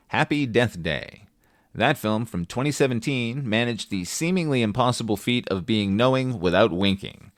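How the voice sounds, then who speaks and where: Low-voiced man reviewing films, close dry sound. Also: no room sound. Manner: opinionated, wry, casually profane. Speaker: film review podcast host. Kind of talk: scripted film review monologue, conversational.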